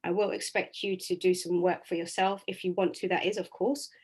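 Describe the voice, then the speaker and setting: A woman presenting a live online wellbeing workshop over a video call, chatty and direct with many ums and restarts, her voice slightly raspy today. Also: on the low side, level tone, brisk, a little mellow.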